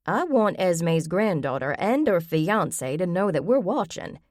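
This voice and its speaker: A woman's voice: lowish voice, big pitch swings, a little slow, darkish tone, no room sound, studio quality. A woman voicing a stubborn, feisty old grandmother with an emphatic, folksy way of talking in an audiobook. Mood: insistent. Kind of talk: audiobook character voice.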